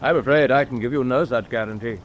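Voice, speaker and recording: An actor playing a firm, composed British destroyer captain in a radio drama, measured and authoritative. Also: male voice, medium pitch, a little hiss or hum, lightly acted.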